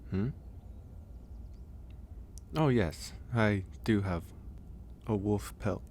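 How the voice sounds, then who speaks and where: Man acting a low-voiced male werewolf, tender caretaker, soft ASMR roleplay, hesitant pauses, audible background ambience. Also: a little hiss or hum. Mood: hesitant, explanatory. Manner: gentle, hesitant, reassuring, pausing often. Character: gentle male werewolf, caring stranger nursing a guest.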